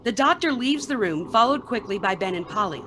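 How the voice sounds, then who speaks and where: A woman's voice: a little high, animated, a little fast, noisy background. A woman narrating scripted stage directions for a radio play.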